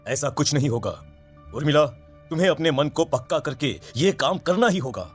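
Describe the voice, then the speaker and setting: A man's voice: on the high side, lively intonation, normal speed, soft background music. A man playing a grave old village priest in a horror cartoon, solemn and emphatic as he gives warnings. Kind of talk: Hindi horror cartoon voice acting.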